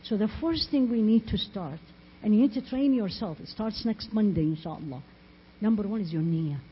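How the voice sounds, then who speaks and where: A woman's voice: mid-range voice, animated, moderate pace, husky. Woman preaching earnestly through muffled audio. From Iraq. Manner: earnest, emphatic, repeats phrases and asks rhetorical questions. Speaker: Islamic preacher and teacher. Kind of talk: religious talk with storytelling. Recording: muffled lo-fi audio with faint noise.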